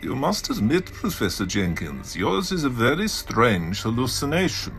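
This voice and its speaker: An actor playing a calm, sceptical psychiatrist in an audio drama, questioning a patient in a measured, coaxing way. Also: male voice, medium pitch, vocal fry, faint music behind.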